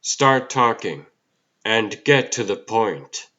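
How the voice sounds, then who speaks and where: A man's voice: fairly low pitch, lively intonation, slow pace, pushed and bright, highs cut off, exaggerated voice. A man playing a young superhero in a comic dub, stern and defiant, with blunt, terse, unimpressed delivery. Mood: defiant, impatient. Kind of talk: dramatic acting in a comic dub.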